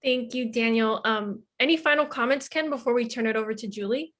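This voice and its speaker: A woman's voice: on the high side, fairly quick, fairly bright tone. A woman moderating a panel discussion in a conversational way. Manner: conversational, introducing guests.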